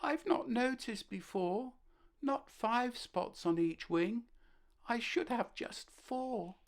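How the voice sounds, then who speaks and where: Woman doing a squeaky, anxious little ladybird girl, storybook, slow and tearful. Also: expressive. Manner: sobbing, earnest, self-conscious. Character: timid, anxious young female ladybird. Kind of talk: storybook character voice.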